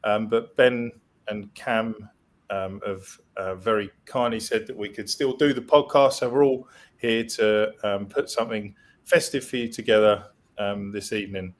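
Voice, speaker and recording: A man's voice: fairly low pitch, fairly even intonation, normal speed. A man hosting a casual podcast, hesitant and friendly, asking his guests questions. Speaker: podcast host.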